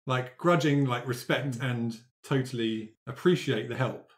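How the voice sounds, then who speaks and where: Man, average pitch, informal halting delivery, tabletop player, casual storytelling. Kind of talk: casual chat about his character's backstory.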